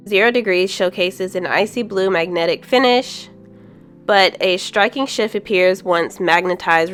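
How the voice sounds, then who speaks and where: A woman's voice: on the low side, fairly bright tone, clean tone. A woman with a stuffy, congested voice from a cold, casually reviewing nail polish with frequent ums and candid opinions. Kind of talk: casual product review voice-over.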